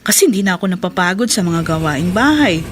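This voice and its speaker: An actress playing a kind, chatty and principled schoolteacher wife in a radio drama, speaking frankly and encouragingly. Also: female voice, fairly low pitch, animated, quick pace, background noise, natural voice. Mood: friendly, admiring. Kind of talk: Filipino radio drama acting.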